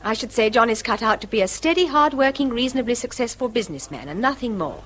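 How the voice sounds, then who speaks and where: A woman's voice: a little high, lively intonation, quick pace, fairly bright tone. An actress playing a reasonable, exasperated middle-aged mother, articulate and pointed, in a radio drama. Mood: reasoning. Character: reasonable, exasperated mother and wife, practical-minded. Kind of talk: stage-style radio drama acting.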